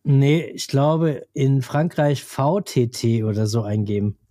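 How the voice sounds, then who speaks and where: Mellow-voiced man with a scratchy cold. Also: mid-range voice, measured pace, dry recording, clean studio sound. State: slight cold with scratchy throat. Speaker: podcast co-host. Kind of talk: casual chat.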